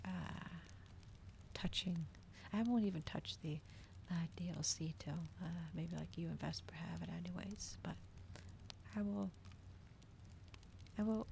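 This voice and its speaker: Low, creaky-voiced woman streamer, softly chatting. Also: slight background noise. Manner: hushed, often dropping into whispers. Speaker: livestreamer hosting an ASMR reading stream. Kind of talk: casual livestream chat, soft ASMR.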